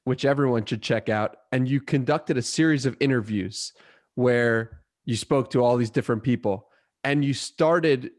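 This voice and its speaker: A man's voice: medium pitch, darkish tone. A man hosting a podcast, asking his guest long, winding questions. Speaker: podcast host. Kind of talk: interview questions.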